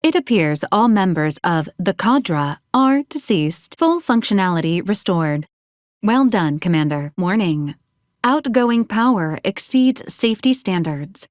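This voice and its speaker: A woman voicing a polite, literal female robot assistant in a video game, speaking in formal, clipped, deadpan announcements. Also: mid-range voice, a little slow, no echo, clean studio sound, natural voice. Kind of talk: scripted video game character lines.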